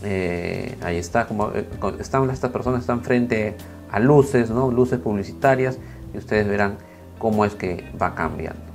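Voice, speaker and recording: Man, 30s, slightly hoarse, low voice, relaxed rambling talk, lapel mic, small home studio, faint background music. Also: normal speed. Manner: relaxed, many fillers and restarts. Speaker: video creator reviewing camera footage. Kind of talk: casual talk to camera, then screen walkthrough.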